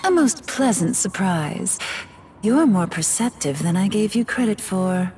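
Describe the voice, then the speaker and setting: An actress voicing an elegant, scheming fallen goddess in a video game, with a refined, condescending manner. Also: female voice, mid-range voice, lively intonation, unhurried, darkish tone, slight background noise. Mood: silky. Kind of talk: video game cutscene voice acting. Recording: game audio with music behind.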